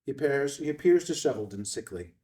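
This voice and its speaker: A man's voice: mid-range voice, lively intonation, fast, mellow. A man narrating a screenplay, reading out scene headings and stage directions at a table read. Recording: slightly distant from the mic.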